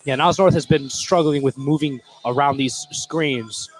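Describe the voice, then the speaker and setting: A man's voice: mid-range voice, normal speed, faint music behind, low-quality recording. An American man giving casual, slangy color commentary and analysis at a live basketball game. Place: indoor high school gym.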